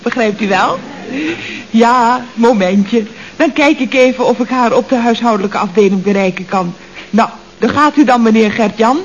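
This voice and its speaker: An actress playing a chatty, coy office receptionist in a radio comedy, with mannered politeness and a Netherlands Dutch accent. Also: female voice, a little high, normal speed, lightly acted. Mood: affected, polite. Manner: mannered, over-polite telephone patter. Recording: dull old radio recording, faint noise.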